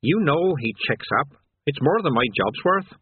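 A man's voice: a little high, expressive, normal speed, narrow, tinny audio, slight background noise, pitched above the performer's own voice, lightly acted. A man putting on a sullen grumbling demon. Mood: wretched. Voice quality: coarse, working-class, gruff. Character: grumbling weary male demon torturer, sullen.

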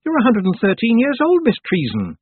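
A man's voice: very high-pitched, huge pitch swings, fairly quick, breathy edge, dry recording, phone-quality sound, voice pushed up, heavily acted. A man voicing a young witch girl, earnest, stubborn and a little anxious, in a storybook reading. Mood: anxious, protesting. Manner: hesitant, protesting.

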